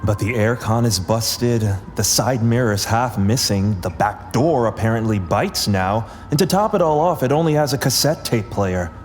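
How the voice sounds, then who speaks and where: An actor playing a weary, sarcastic but dedicated paramedic driver in an audio drama, gruff and exasperated. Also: male voice, mid-range voice, moderate pace, few pauses, soft background music, lightly acted. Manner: sardonic, exasperated, swearing. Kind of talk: audio drama acting with internal narration. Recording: clear vehicle ambience behind the voice. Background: ambulance and traffic sound effects.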